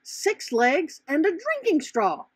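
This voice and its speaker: A woman's voice: very high-pitched, wildly swooping pitch, takes it slowly, dry recording, pitched above the performer's own voice, exaggerated voice. A woman voicing a curious, innocent young female butterfly who thinks aloud in a children's storybook. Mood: wondering. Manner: wondering, thinking aloud to herself. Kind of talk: children's storybook character reading.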